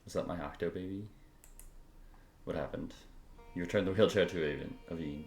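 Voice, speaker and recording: A man with a very deep voice reacts casually while streaming a game. His room sounds echoey, and game music plays clearly behind him along with keyboard clicking. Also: a little fast, darkish tone. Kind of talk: casual live gameplay reactions.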